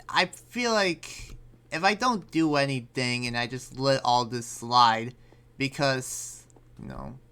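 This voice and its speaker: A man playing an earnest, troubled demon slayer and researcher in an improvised anime game roleplay, speaking haltingly and often trailing off. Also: medium pitch, bright, forceful tone, clear, resonant tone, full character voice. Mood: troubled, resolute.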